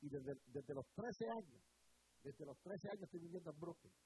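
Fervent radio preacher. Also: male voice, on the high side, lively intonation, mellow, poor audio. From Puerto Rico. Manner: fervent preaching with repetitions and drawn-out emphasis. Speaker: radio pastor. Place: radio studio. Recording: hissy broadcast recording.

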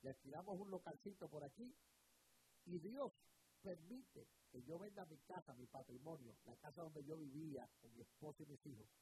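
Middle-aged man, radio pastor, preaches with fervor and repetition, a touch high-voiced, over audible hiss. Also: warm tone, poor audio. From Puerto Rico. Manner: fervent preaching with repetitions and drawn-out emphasis. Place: radio studio. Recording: hissy broadcast recording.

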